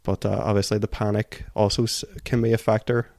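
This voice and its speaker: Male co-host for book discussion: lowish, flat intonation, analytical, very dry close sound. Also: brisk, creaky, no echo.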